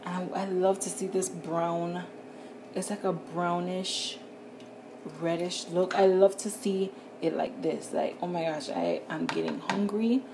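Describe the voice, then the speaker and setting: A chatty young woman talks her viewers through a recipe in a home kitchen. Her voice sits a little low, with some faint noise in the background from the phone recording. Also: lively intonation, unhurried. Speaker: vlogger. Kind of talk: casual cooking vlog. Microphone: phone camera.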